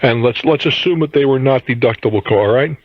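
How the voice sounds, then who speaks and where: Blunt, husky male radio advice host. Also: mid-range voice, quick pace. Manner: blunt, interjecting, argumentative. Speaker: radio call-in host giving money advice. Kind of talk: phone-in advice, unscripted conversation. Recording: roomy, dull lo-fi radio tape.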